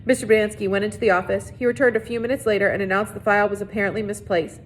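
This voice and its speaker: A woman's voice: fairly even intonation, racing. A woman reading a children's novel aloud as narrator. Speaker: reader. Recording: noisy recording with low rumble.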